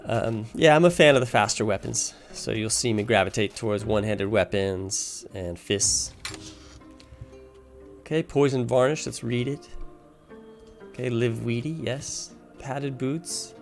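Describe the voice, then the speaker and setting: A man's voice: medium pitch, measured pace, creaky. A man casually talking through a video game as its guide, explaining his choices and thinking aloud as he plays. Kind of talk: casual walkthrough commentary while playing. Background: music.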